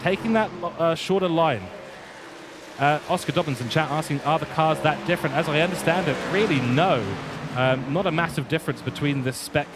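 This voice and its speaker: A man's voice: a little high, brisk, loud music behind the voice. A man with a British accent, born in England, giving live race commentary for an online racing league, chatty, with many fillers. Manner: chatty, reads viewer chat aloud, many fillers.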